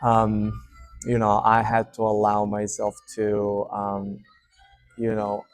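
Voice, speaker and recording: A man in his thirties from Serbia with a Serbian accent, hosting his channel and talking straight to camera in a relaxed, unscripted way with many fillers. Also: lowish voice, slow, no echo, faint music behind. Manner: warm, hesitant, many fillers. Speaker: channel host. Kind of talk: casual unscripted update to camera. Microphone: speaking to camera.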